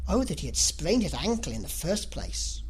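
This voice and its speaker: A woman's voice: lowish voice, very animated, moderate pace, husky, exaggerated voice, low-quality recording. A woman voicing an impatient, exasperated middle-aged husband in a novel reading, with a curt, sardonic manner. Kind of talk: classic novel audiobook character reading.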